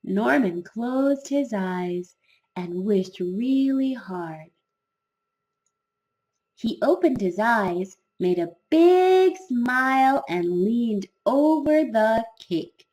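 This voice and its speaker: A woman narrating a children's story from a script, expressive and deliberate, with dramatic emphasis. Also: a little high.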